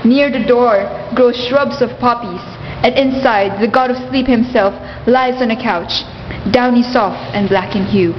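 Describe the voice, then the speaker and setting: A woman's voice: fairly high pitch, measured pace, a little hiss or hum, natural voice. A woman narrating a myth in a scripted storybook style, as the narrator of a radio play. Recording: muffled, echoing, music behind.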